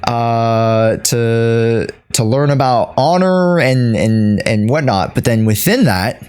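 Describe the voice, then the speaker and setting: A man talking off the cuff to his livestream chat, halting and repeating himself as he searches for words. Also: medium pitch, darkish tone, clear, resonant tone. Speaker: streamer. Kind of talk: unscripted livestream commentary to chat.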